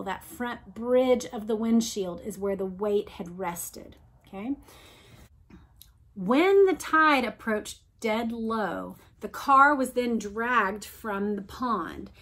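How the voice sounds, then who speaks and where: A woman hosting a read-along, reading aloud and adding emphatic explanations addressed directly to listeners. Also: fairly high pitch. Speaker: host of a read-along discussion.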